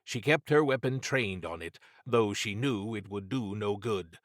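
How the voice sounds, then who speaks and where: A man narrating horror fiction as an audiobook, with measured, dramatic storytelling. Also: on the low side, dry recording, clean studio sound.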